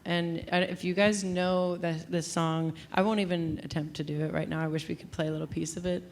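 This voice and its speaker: A woman's voice: lowish voice, little pitch movement, brisk, darkish tone, slight background noise. A woman hosting an interview at a live music venue, asking thoughtful, admiring questions of a guest musician. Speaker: host. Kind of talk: interview host chat.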